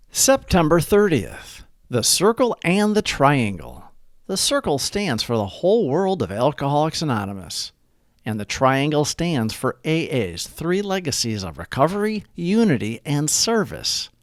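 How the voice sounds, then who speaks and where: A man reading a scripted reflection aloud in a measured, deliberate way, with pauses between phrases. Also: medium pitch, dry recording, clean studio sound. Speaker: narrator of a daily recovery reading. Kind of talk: scripted read-aloud narration.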